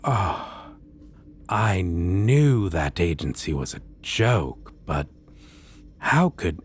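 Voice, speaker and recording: A man's voice: sits low, sing-song, extremely slow, slight background noise, full character voice. A man playing a theatrical, suave and condescending costumed supervillain in an ASMR roleplay. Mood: incredulous. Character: theatrical, stately, amused supervillain in costume interviewing a minion.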